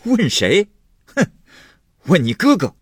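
Man playing a hot-tempered, raspy, blustering husband. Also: sits low, very animated, normal speed, fairly bright tone, no room sound, clean studio sound, heavily acted. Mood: bitter grumbling. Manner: blustering, snorting, sarcastic.

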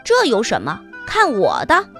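Lady doing a cocky little tailor guy in a storybook, highish n bouncy, bragging. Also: huge pitch swings, a little slow, a bit bright, soft background music, full character voice. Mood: boastful. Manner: breezy, boastful. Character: brave, cocky, clever little male tailor. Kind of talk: children's fairy tale storytelling.

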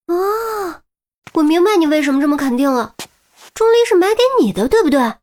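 A woman voicing a brisk young policewoman in an audiobook, pressing with questions and scolding protectively. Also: a little high, big pitch swings, a little mellow. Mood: accusing, probing. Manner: pressing, rapid-fire questioning. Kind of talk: audiobook character dialogue.

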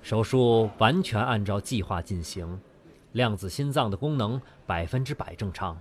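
A man's voice: fairly low pitch, animated, normal speed, natural voice. A man playing a courteous, reassuring surgeon in a radio drama.

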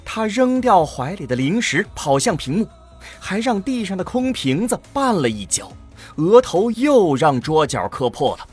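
A man's voice: sits high, very animated, unhurried, a little mellow, slightly rough, soft background music. A man narrating a scripted science fiction audio drama in a dramatic storytelling manner.

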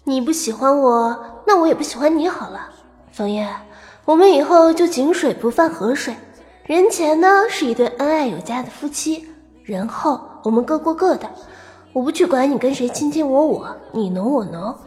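A woman's voice: sits high, lively intonation, normal speed, a little mellow. A woman playing a proud, wilful young princess wounded in love in a Chinese historical audio drama. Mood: resigned, defiant. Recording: long reverb, faint music behind.

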